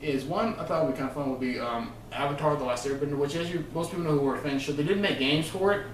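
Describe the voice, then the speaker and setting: A man's voice: on the high side, quick pace. A man chatting casually and unscripted about his opinions as a video co-host, rambling and emphatic. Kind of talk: casual unscripted gaming discussion. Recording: roomy, distant mic, faint noise.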